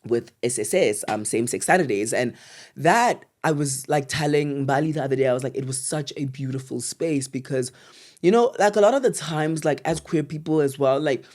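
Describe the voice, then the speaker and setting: A woman's voice: rumbling low, moderate pace, darkish tone. South african woman, podcast co-host, casual slangy way of talking. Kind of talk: casual chat.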